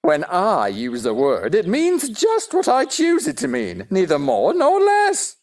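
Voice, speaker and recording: A woman's voice: medium pitch, wildly swooping pitch, a little slow, vocal fry, a little mellow, exaggerated voice. A woman playing a pompous, touchy, argumentative talking egg in a stage-play style audio drama, with haughty, exaggerated emphasis. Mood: smug. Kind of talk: theatrical audio drama acting.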